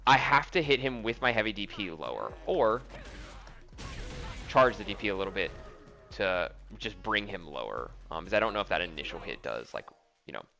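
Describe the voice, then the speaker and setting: A man's voice: sits low, gravelly, bright, forceful tone, no echo. A young man casually commenting on replays of his own fighting game match, thinking aloud with lots of ums and likes.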